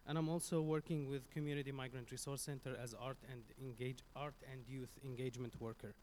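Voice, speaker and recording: A man from Syria with a Syrian Arabic accent, Arabic his first language, giving an earnest, halting public speech into a stage microphone as a community speaker. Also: medium pitch, little pitch movement, darkish tone, slight background noise. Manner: earnest, halting, with many restarts.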